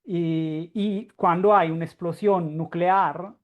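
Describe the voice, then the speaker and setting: A man with an Italian accent lectures at a science conference, unhurried and halting as he searches for words. He restarts phrases often while he explains things patiently. Italian is his first language. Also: sits high, steady tone, highs cut off. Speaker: guest lecturer, astrophysicist. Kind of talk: academic conference talk.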